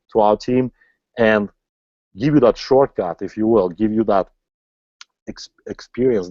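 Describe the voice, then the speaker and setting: A man's voice: fairly low pitch, a little mellow, no room sound. Motivational non-native English-speaking man coach. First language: not English. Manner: motivational, tags lines with "right?". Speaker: online marketing coach. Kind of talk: sales webinar pitch. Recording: slightly dull lo-fi audio.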